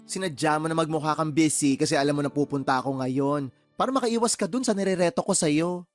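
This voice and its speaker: A man's voice: on the high side, quick pace, soft background music, close to an everyday voice. A man playing a flamboyant, chatty, meddling best friend in a Tagalog radio drama, with a sassy, theatrical delivery. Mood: teasing.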